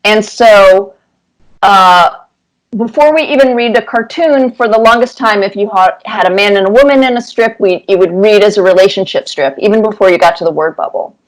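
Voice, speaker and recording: Middle-aged woman, interview guest. Conversational, thoughtful, picks her words deliberately. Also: medium pitch, low-quality recording.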